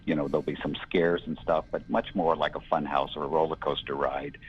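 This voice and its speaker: A man in his sixties with a gravelly, gruff voice, giving a rambling, reflective interview answer with lots of fillers. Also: a bass voice, flat delivery, brisk, a little hiss or hum. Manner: rambling, reflective, many "you know" fillers. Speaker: interview guest, filmmaker. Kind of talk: casual conversational interview. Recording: dull lo-fi audio.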